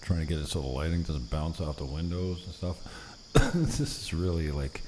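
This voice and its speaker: A man's voice: rumbling low, lively intonation, moderate pace. A man hosting a podcast, chatting in a blunt, offhand, grumbling way in a bare living room. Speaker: podcast host. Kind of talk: casual chat, muttering about setup. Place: living room of small apartment, emptied of furniture. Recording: noisy echoey living room, insects.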